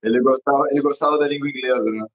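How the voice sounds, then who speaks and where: A man's voice: mid-range voice, steady tone, rapid-fire. A young man from Brasília hosting a casual interview, rambling with many fillers and restarts and laughing often. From Brazil, Brasília. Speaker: podcast host. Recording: dull lo-fi audio.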